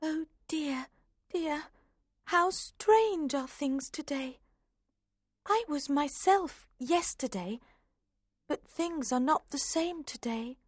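A man voicing a curious, fretful young girl in a high, wondering tone for a storybook. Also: animated, slow, long pauses, no room sound, studio quality, full character voice.

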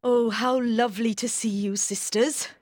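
A woman's voice: a little high, steady tone, moderate pace, a little mellow, no room sound, clean studio sound, natural voice. A woman voicing a fussy, anxious, eager-to-please mother in an audiobook, exclaiming and fussing. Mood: gushing welcome. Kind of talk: audiobook character voice.

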